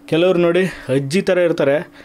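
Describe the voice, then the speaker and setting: A man's voice: on the high side, moderate pace, darkish tone. A man from Karnataka hosting an online video, explaining things to viewers step by step in a casual, conversational way.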